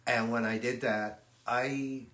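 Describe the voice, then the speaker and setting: An older man with a middle-pitched voice, telling stories about his past to fans in an easy, relaxed way. His sentences often trail off. The sound is roomy and echoey. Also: takes it slowly. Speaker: guitarist hosting a fan livestream. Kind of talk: casual reminiscing chat. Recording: echoey room.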